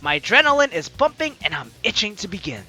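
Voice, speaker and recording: A woman hosting a let's play, chatty and excitable, reading game narration aloud with drama and commenting as she goes. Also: mid-range voice, quick pace, husky. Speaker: gaming video host. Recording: game music behind the voice. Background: game music.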